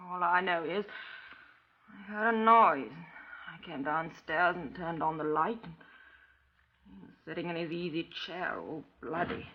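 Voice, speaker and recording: An actress playing an overwrought, imperious widow who confesses to murder, in old radio crime drama style, husky and theatrical, shifting between vague muttering and sharp commands. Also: female voice, on the low side, unhurried, long pauses, slight background noise. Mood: sullen, dazed. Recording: dull 1950s radio recording.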